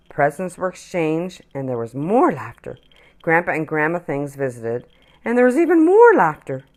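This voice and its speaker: A woman reads a children's story aloud in a low-pitched voice. Her delivery is highly animated, rising and falling expressively, with dramatic pauses for effect. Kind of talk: children's picture book read-aloud.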